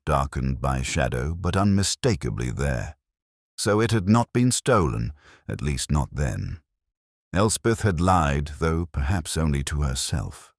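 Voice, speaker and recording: Very deep-voiced man narrating a detective story. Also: no room sound, studio quality. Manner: measured, solemn, reflective. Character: melancholy, erudite male English police inspector narrating his case. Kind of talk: scripted literary detective narration.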